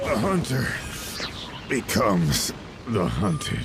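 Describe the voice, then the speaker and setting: Slow, menacing fighter. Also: male voice, lowish voice, wildly swooping pitch, exaggerated voice. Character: menacing, confident male fighter calling out in combat. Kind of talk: anime video game battle lines. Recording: game capture with loud combat noise.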